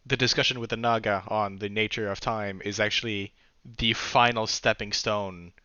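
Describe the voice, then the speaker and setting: A man hosting a tabletop game as game master, slightly raspy from a cold, laughing often and rambling with restarts. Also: lowish voice, fairly even intonation, moderate pace, bright, forceful tone, no echo, dull audio.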